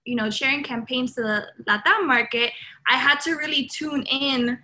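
A woman's voice: high-pitched, moderate pace, bright, forceful tone, slight background noise. A woman in her twenties from Miami giving earnest, informal interview answers peppered with "like" and "you know". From Miami, born in Colombia. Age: 20s. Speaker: interview guest, public relations account executive.

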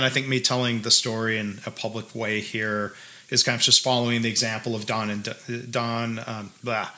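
Bright-voiced man chatting on a podcast. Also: on the low side. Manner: halting, many restarts and fillers. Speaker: podcast co-host. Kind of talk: casual conversation.

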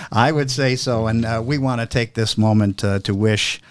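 Male co-host and story reader, slow and measured, medium pitch. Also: dry recording. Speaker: co-host of a story-reading show. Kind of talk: dramatic poem reading and casual talk.

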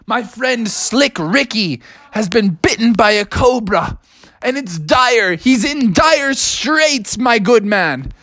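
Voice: mid-range voice, expressive, takes it slowly, a bit bright, dry recording, voice pushed up. A storyteller voicing an earnest, dramatic male bear with grand, formal phrasing for a children's story. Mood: grave. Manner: theatrical, formal old-fashioned phrasing.

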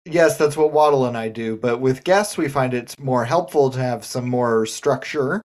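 A man acting as a small-town radio arts host in a comedy podcast drama. He is reluctant and flustered, with a mid-pitched voice, and he speaks in a deadpan, faintly exasperated way. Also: moderate pace, close to an everyday voice. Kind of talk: audio fiction comedy as radio broadcast.

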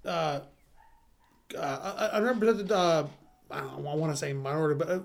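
A man's voice: fairly high pitch, vocal fry. A man co-hosting a casual podcast chat, swearing freely, laughing often and stumbling through his thoughts with lots of fillers. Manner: profane, laughs often, halting with many fillers. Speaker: podcast co-host.

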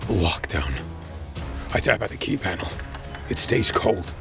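An actor playing a desperate, sardonic space pirate on the run, narrating his own audio drama in dramatic turns from hush to yell. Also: low voice, expressive, a little slow, creaky, heavily acted. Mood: panicked. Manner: dramatic, breathless shifts from hushed to yelling. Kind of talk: first-person audio drama narration and dialogue. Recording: loud music bed behind voice.

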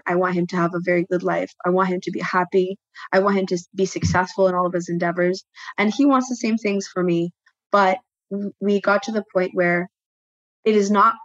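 A woman whose first language is French, a guest speaking reflectively with frequent pauses and restarts. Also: mid-range voice, dry recording, muffled recording. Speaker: podcast guest, tattoo studio co-owner. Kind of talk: personal interview talk.

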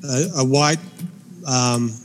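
A man in his forties with a speech impediment, co-hosting a gaming podcast in a halting, filler-heavy way. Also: mid-range voice, steady tone, big-room sound, soft background music. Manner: halting, many fillers and restarts. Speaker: podcast co-host. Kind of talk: casual chat.

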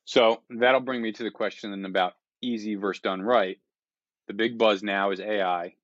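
A man's voice: fairly low pitch, fairly even intonation, normal speed, a bit bright, no echo. A man hosting a podcast interview, asking follow-up questions and summing up his guest's answers in a friendly, casual way. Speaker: podcast host. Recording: dull, dry lo-fi sound.

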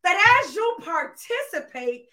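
American lady preacher, really high bright voice, fired up, hammering lines over and over. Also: sing-song, takes it slowly. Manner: emphatic, repetitive, exhorting, often rising to a shout. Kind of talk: impassioned sermon.

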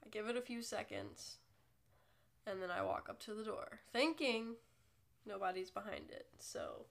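Congested girl podcasting solo, kinda raspy fry, self-deprecating and rambly. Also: medium pitch, wildly swooping pitch, normal speed, a bit bright. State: congested from a cold. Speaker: podcast host. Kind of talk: casual solo podcast chat.

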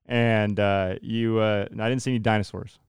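A man's voice: on the low side, steady tone, moderate pace, smooth, no echo. A man hosting a casual interview podcast, chatting in a self-deprecating, joking way. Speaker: podcast host; church worship leader.

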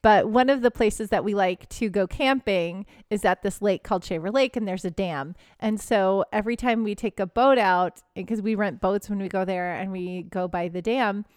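A woman in her thirties from Texas co-hosting a podcast in casual chat, giggly and quick to gasp and react. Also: mid-range voice, clear, resonant tone, no room sound, clean studio sound. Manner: giggly, gasps and reacts loudly. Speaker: podcast co-host.

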